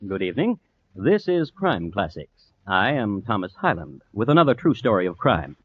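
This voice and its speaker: A man hosting and narrating an old-time radio true-crime show in a deliberate, wry, understated manner. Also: on the low side, sing-song, husky, no room sound. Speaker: host and narrator. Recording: dull, faintly noisy old radio recording.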